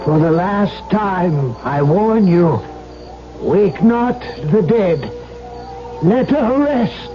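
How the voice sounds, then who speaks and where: An actor playing a grave old alchemist sorcerer, solemn and portentous, in a 1970s radio drama. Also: male voice, sits high, lively intonation, heavily acted. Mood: foreboding. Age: older. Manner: slow, portentous, incantatory. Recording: dull 1970s radio broadcast with loud music.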